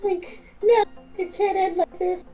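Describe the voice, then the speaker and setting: A woman's voice: extremely high pitch, flat delivery, unhurried, dark tone, soft background music, pitched above the performer's own voice. A woman voicing a grieving young princess in an amateur fantasy reading, pleading and melodramatic. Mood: desperate grief.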